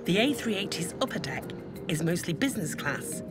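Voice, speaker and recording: A woman narrates a documentary from a script. Her delivery is animated and varied in pitch, with music playing strongly behind her. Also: fairly quick. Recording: music bed under voice. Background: background music.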